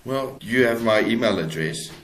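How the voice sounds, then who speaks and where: A man's voice: on the low side, animated, moderate pace, a bit bright. A South African man speaking hesitantly as an author being interviewed, in casual conversation. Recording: echoey, roomy sound.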